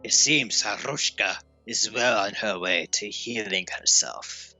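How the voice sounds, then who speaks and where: A man's voice: on the low side, unhurried, sharp tone, faint music behind, heavily acted. A man playing a vengeful, menacing villain who plots against his enemies in an audio drama.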